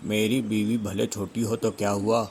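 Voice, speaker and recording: A man voicing chatty male bird townsfolk in a cartoon, expressive and colloquial. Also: lowish voice, moderate pace, a little hiss or hum, lightly acted. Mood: apologetic.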